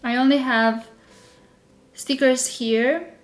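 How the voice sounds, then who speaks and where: Female live-stream host, Serbian accent, slow casual delivery, thinking aloud, a bit poorly, soft music underneath. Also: high voice, fairly even intonation. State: slightly unwell with a sore throat. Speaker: digital journaling creator hosting a live stream. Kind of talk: casual live tutorial chat.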